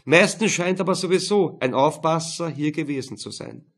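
A fat, calm police detective voiced by a male audiobook narrator lays out evidence in a matter-of-fact way. The voice is raised in pitch and a little husky. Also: normal speed.

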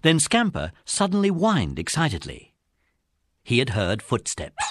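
A man's voice: medium pitch, wildly swooping pitch, measured pace, dry recording. A British man narrating a scripted children's story as the narrator of an audiobook.

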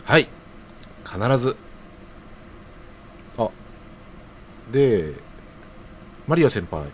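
A man's voice: medium pitch, sing-song, very slow. With faint noise behind him, a man plays a young male office junior in a radio drama. He is earnest and flustered, his medium voice jumping about with eager hesitation. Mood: eager, polite. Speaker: voice actor in an audio drama. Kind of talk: audio drama dialogue. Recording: audible background noise.

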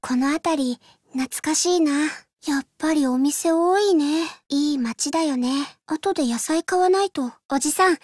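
Very high, girlish young game heroine. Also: measured pace, darkish tone, dry recording, studio quality. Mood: gentle, casual. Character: gentle, polite young Japanese woman in a game. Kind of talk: video game voice clips, short reactive lines.

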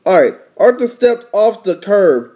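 A man's voice: high voice, very animated, a little slow, dark tone. A father reading a picture book to his young child, explaining it casually and prompting with questions. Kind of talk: storybook read-aloud with casual explanations.

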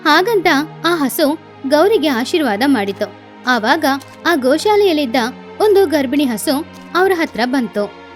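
High, lively woman storyteller. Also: a little slow, long pauses, soft background music. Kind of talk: scripted children's story narration.

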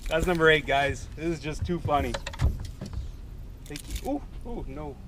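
A man's voice: fairly high pitch, unhurried. A man in his thirties, a fishing vlogger, chatting casually and playfully from a small boat on open water, counting his catches. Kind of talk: casual chat. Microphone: action camera on the boat. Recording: wind and water noise outdoors.

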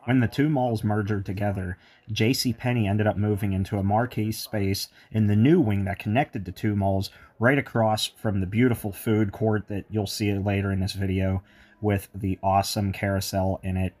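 Man, slightly low voice, eager and chatty as a mall tour guide. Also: fairly even intonation, moderate pace, a little mellow. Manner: enthusiastic, chatty asides. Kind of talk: walking tour narration with history. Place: indoor shopping mall.

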